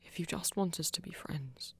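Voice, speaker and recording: Woman acting flirty vampire, husky whispery voice, creaky edge, patient, elegant, soft ASMR roleplay. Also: lowish voice, moderate pace, full character voice. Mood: earnest, restrained. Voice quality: breathy, husky. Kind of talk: intimate ASMR romance roleplay.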